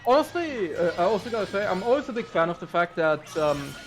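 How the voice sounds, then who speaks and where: A man's voice: sits high, sing-song, a little fast, over music. Laid-back joking man commentating. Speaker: esports commentator. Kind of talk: casual live game commentary.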